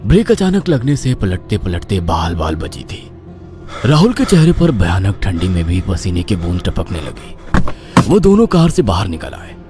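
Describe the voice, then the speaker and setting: Guy narrating a spooky cartoon story, low voice that jumps all over, music running behind. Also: wildly swooping pitch, moderate pace. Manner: dramatic, theatrical swings. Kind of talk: dramatic horror story narration. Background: background music.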